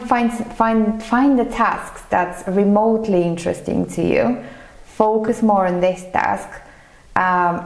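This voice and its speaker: A woman from Bulgaria speaks as a guest in a conversational interview. Her voice sits a little low, and she talks in a thoughtful, hesitant way. The sound is noticeably echoey. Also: vocal fry. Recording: echoey, reverberant room sound.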